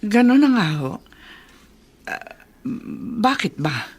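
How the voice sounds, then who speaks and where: An actress playing a kindly, concerned aunt in a Filipino radio drama, with a Filipino accent. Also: female voice, fairly high pitch, very animated, slow, a little mellow, breathy edge, exaggerated voice. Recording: music under the voice.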